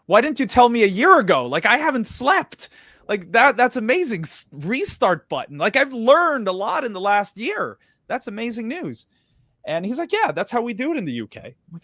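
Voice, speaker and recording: A man's voice: no room sound, clean studio sound. A man from Sweden with an American accent, telling his story as a guest in an interview and acting out the conversations. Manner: animated storyteller, acts out dialogue with quick jumps in pitch.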